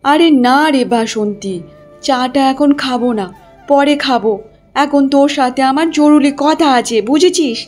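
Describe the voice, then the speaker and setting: A woman voicing an unhurried older village neighbour aunt in a cartoon story, with a familiar, drawling manner. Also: sits high, a little mellow, faint music behind. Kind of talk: Bengali cartoon story dialogue.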